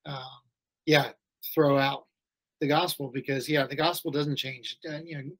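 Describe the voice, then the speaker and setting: A middle-aged man, a school head, speaking as a podcast guest over a video call in a thoughtful, measured way. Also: mid-range voice, steady tone, a bit bright, poor audio. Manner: thoughtful, measured, restarts phrases. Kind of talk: casual interview chat. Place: home or office, video call.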